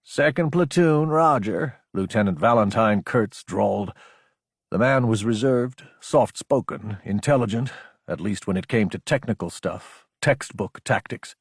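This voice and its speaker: A man's voice: on the low side, unhurried, breathy edge, no echo, studio quality. A man narrating a science fiction action audiobook from a script.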